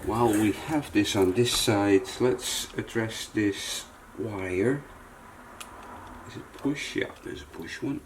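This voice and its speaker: A man's voice: fairly low pitch, takes it slowly, a little hiss or hum, rough recording. A man giving a casual hands-on tutorial, thinking aloud to viewers as he works, in the manner of a repair video presenter. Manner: thinks aloud while working, talking to viewers.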